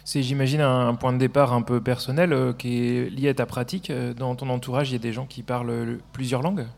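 Radio host guy, gravelly creaky voice, lots of ums, kinda echoey room. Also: medium pitch, little pitch movement, fairly quick, a little mellow. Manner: hesitant, many filler sounds. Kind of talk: live radio interview.

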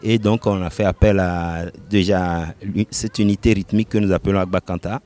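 Man from benin, lowish voice, slow with lots of pauses, laughs a bit as he talks. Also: steady tone, a little mellow. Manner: unhurried, many hesitations, laughs often. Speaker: reggae musician. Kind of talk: interview answers.

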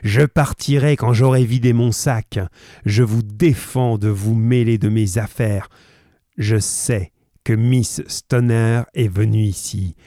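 A man voicing a furious, menacing old man in a read-aloud story, loud and threatening. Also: fairly low pitch, drawn-out words, dry recording, clean studio sound.

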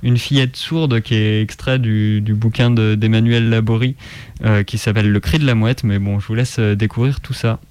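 A man's voice: lowish voice, steady tone, moderate pace, darkish tone, clean tone, no room sound. A man co-hosting a radio show, introducing and reading a story aloud. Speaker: radio co-host presenting a fiction segment. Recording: music behind the voice.

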